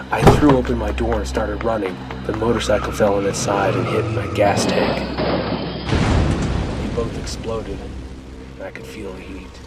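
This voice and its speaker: Radio play lead: a man playing a tense zombie outbreak survivor, low-pitched, telling his own story over loud music. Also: natural voice. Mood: alarmed. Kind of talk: dramatic first-person radio play narration.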